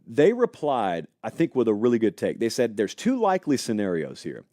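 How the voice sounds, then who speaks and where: A man's voice: lowish voice, moderate pace, no echo, clean studio sound. A man hosting a live college football talk show, chatting casually and speculating aloud while answering viewers in the live chat. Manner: animated, conversational, speculative, addresses live chat.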